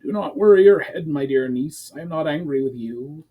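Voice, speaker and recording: A man's voice: fairly high pitch, animated, normal speed, slightly rough, phone-quality sound, voice pushed up. A man voicing a stern, authoritative knight commander with formal speech in a fantasy audiobook. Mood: reassuring. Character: stern, commanding male knight commander, protective of his city.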